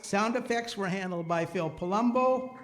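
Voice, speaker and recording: High-voiced, halting man hosting in a hall. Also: very noisy. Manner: halting, hesitant. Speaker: host of a community radio-play show. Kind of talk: informal thank-you and credits announcement. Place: hall with a live audience.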